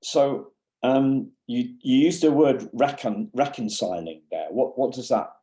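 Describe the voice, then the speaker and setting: A man's voice: mid-range voice, measured pace, mellow. A man hosting a conversational interview, hesitant and repeating words as he works his way into questions. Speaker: podcast host.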